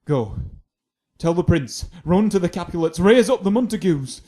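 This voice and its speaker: A man's voice: high voice, animated, moderate pace, mellow. An actor playing a dismayed watchman in a Shakespearean radio drama. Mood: shocked, urgent. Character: dismayed watchman reporting deaths with urgency.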